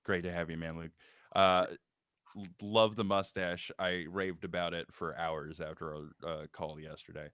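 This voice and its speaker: A man's voice: sits low, steady tone, moderate pace, a bit bright, smooth, no echo. A man hosting a casual live stream in a joking, rambling way. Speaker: host and script writer.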